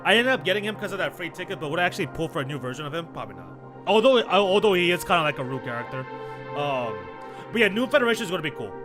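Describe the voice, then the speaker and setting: Male game streamer, voice a bit high, casual and slangy, stammers and repeats phrases, game music clearly underneath. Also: lively intonation, very fast. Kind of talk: casual livestream commentary, reading game dialogue aloud.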